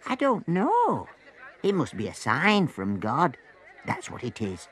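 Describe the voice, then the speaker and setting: A man's voice: fairly high pitch, huge pitch swings, slow pace, a bit bright, husky, voice pushed up, heavily acted. A man voicing an astonished, earnest villager in a dramatised story reading. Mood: awed.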